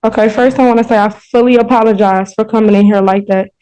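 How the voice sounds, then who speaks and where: Female American court speaker, flat intonation, soft dark tone, apologetic yet insistent, halting delivery. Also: mid-range voice, smooth, highs cut off. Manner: halting, apologetic but insistent, repeats herself. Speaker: member of the public addressing a court. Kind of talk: spontaneous plea to a judge.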